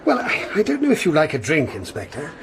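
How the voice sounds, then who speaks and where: An actor playing a chatty, hesitant schoolmaster in a radio drama. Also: male voice, on the high side, wildly swooping pitch, fast talker. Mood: eager. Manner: chatty, hesitant, laughing nervously. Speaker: second master at a school. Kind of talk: BBC radio drama acting. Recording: noisy radio drama recording.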